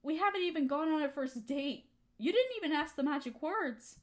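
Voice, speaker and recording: A woman acting a hurt young woman softening toward her best friend, in an intimate roleplay audio drama, emotional and halting. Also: sits high, lively intonation. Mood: cautious, tender.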